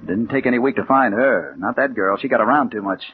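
An actor playing an impatient, haunted American crime reporter, tough and slangy, in 1940s radio drama style. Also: male voice, medium pitch, a little fast, lightly acted. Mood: tense, brooding. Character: hard-boiled male crime reporter, impatient, obsessive, haunted. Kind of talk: 1940s radio drama acting, first-person narration. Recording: dull, hissy 1940s radio recording.

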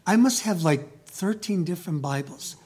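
A man whose first language is Italian, preaching a sermon as pastor: he reads scripture emphatically, prays softly, and speaks in a church building. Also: sits high, animated, husky. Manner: slow, emphatic reading building to loud proclamation; quiet, soft prayer voice. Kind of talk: sermon with scripture reading and prayer.

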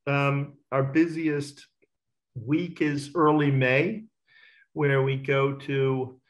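Man, middle-aged, average pitch, talkative, self-assured, casual interview answers. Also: little pitch movement, very slow, highs cut off. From Australia. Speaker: racecourse bookmaker.

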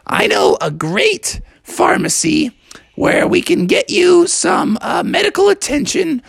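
A man voicing an excitable but easygoing mother frog in a children's storybook, in a scratchy falsetto. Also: slow, creaky, no room sound, heavily acted. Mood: breezy, casual.

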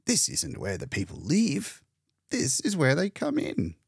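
Man playing a booming, jovial, self-important giant doctor. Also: huge pitch swings, measured pace, creaky, slightly rough, no room sound, clean studio sound, full character voice. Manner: hearty, pompous, emphatic.

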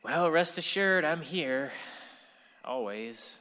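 An actor playing a gentle, earnest, watchful grandson in a radio play. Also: male voice, a little high, animated, slow pace, heavily acted. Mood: reassuring. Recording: long hall-like reverb.

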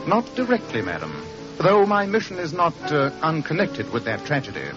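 An actor playing a brilliant, commanding gentleman detective in a radio drama, with a British accent and clipped, precise delivery. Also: fairly high pitch, very animated, moderate pace, music in the background. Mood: formal, courteous. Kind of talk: old-time radio detective drama acting. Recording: muffled, noisy old radio recording.

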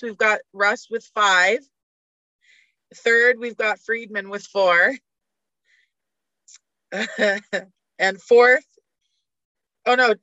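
A woman's voice: mid-range voice, bright, forceful tone, no echo, muffled recording. A woman guest on a sports podcast, chatting casually with blunt opinions and frequent laughter. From Canada.

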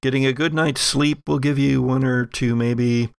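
A man narrating a tabletop horror game as game master, setting scenes theatrically with deliberate pauses. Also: medium pitch, fairly even intonation, gravelly, clean tone, dry recording, studio quality. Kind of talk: narrating an actual-play horror roleplaying game.

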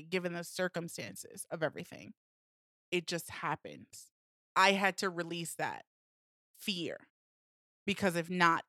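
A woman's voice: lowish voice, fairly bright tone, dry recording, clean studio sound. A young American woman from a Peruvian family, chatting reflectively as a podcast guest with plenty of fillers. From United States, Peruvian family. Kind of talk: casual podcast chat.

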